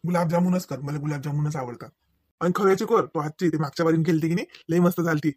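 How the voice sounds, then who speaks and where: A man's voice: on the high side, fast talker, no echo, clean studio sound, natural voice. A man playing a teasing young student uncle visiting his sister in a Marathi comedy cartoon, speaking familiarly with a rural Vidarbha accent. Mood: easygoing.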